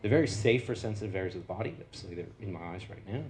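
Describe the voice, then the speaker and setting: A man's voice: sits low, expressive, rapid-fire, darkish tone, a little hiss or hum. An American man, a researcher, giving a conversational public talk with slides, full of fillers and restarts. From United States.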